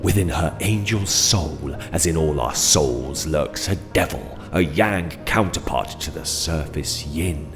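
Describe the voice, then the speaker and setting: Audio drama villain: sinister, silky gentleman manipulator, extremely low, unhurried delivery. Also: music in the background. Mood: gloating. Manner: slow, gloating, silky menace. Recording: long cavernous reverb.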